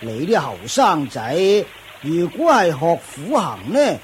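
A man playing a pompous, strict master of forest ascetics in a radio drama, lecturing with drawn-out grunts and fillers. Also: on the high side, wildly swooping pitch, slight background noise, full character voice. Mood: stern lecturing. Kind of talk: Cantonese Buddhist radio drama acting.

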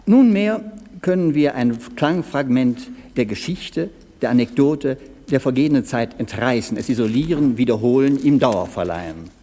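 A man's voice: medium pitch, mellow. A man speaking formal, articulate German diction in a scripted radio-essay dialogue, as a questioning partner. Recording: long reverberant hall.

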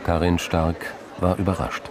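A man's voice: low-pitched, flat delivery, a little slow, background noise. A man reading scripted literary narration for a radio crime drama. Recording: music underneath.

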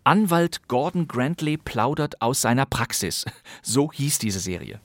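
Animated male podcast host, dry studio sound. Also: mid-range voice, unhurried, no room sound. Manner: playful, theatrical, relishing old thriller titles. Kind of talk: presenting vintage radio crime plays.

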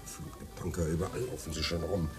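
A man's voice: very deep voice, level tone, fast, soft background music. An actor playing an irritable, cursing man who snaps at a clumsy young man in a German radio play. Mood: angry. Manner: gruff, irritable. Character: grumpy cursing colleague Ray.

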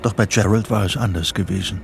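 An actor as the narrator of a psychological thriller, reading scripted radio drama narration. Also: male voice, lowish voice, fast, gravelly, over music. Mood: sober.

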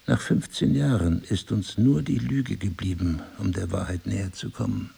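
An actor playing a middle-aged husband, wounded yet devoted, who argues in articulate, deliberate phrases in a stage-play dialogue. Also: male voice, low voice, creaky, mellow, breathy edge, full character voice. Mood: quiet, confessing. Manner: articulate, deliberate, lecturing, ironic. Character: reproachful yet devoted husband, wounded, philosophizing crime writer.

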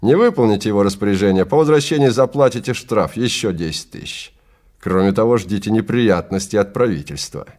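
A man's voice: fairly low pitch, a little fast, darkish tone, natural voice. Brusque clerk with emphatic delivery, played by a man. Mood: brusque, warning. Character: brusque businesslike male time-safari clerk, talkative and matter-of-fact. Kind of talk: dramatized audiobook dialogue.

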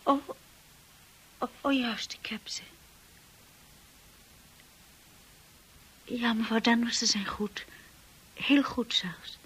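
An actress playing a shy, insecure young wife in a radio drama, with a hushed, breathy voice and a timid, hesitant way of talking. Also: female voice, fairly high pitch, takes it slowly, exaggerated voice, low-quality recording. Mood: nervous, apologetic. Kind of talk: radio drama narration and acting.

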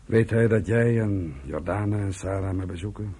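An actor playing a weary old uncle in a radio drama, speaking heavily and haltingly, longing to be forgiven. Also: male voice, fairly low pitch, dark tone, slightly rough. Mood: weary, wistful. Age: older. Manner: slow, heavy, halting delivery. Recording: noisy old radio play recording.

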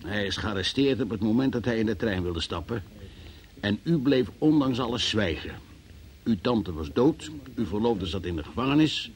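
An actor playing a formal, sceptical examining magistrate who questions insistently in a Dutch radio play. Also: male voice, lowish voice, animated, moderate pace, natural voice. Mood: indignant, sceptical. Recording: noisy old radio play recording.

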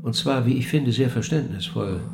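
An actor playing a cool, probing interviewer of the dead in a German radio play, speaking in a measured, dry and pointed way. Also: male voice, mid-range voice, gravelly, background noise, lightly acted. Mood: measured reciting.

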